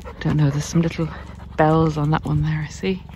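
A mellow voice gives gentle, reflective advice while walking outdoors. The delivery is even and reassuring, with unhurried pauses. There is light outdoor noise with birdsong behind it. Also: mid-range voice. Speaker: narcissistic abuse recovery coach. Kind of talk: walk-and-talk vlog, reflective advice. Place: outdoors on a country walk.

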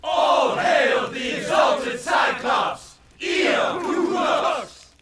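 A zealous cult congregation in a radio drama, shouting drawn-out responses together. The voices are high and very slow, echoing in a loud crowd. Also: very noisy. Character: fanatical hooded cult congregation chanting in unison.

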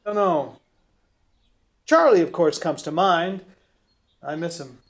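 Small town fella for radio drama, high voice, stubborn, plain talking. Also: male voice, animated, slow pace. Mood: easygoing, frank.

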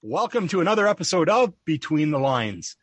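A man hosting a podcast, reading a scripted introduction and then welcoming his guest, with a measured, deliberate delivery. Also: a little high, big pitch swings, slightly rough, no room sound. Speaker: podcast host.

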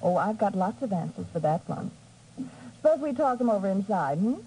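Mellow, sly young newspaperwoman played by an actress. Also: medium pitch, animated, fairly quick, natural voice. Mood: teasing, confident. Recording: faintly noisy 1950s radio recording.

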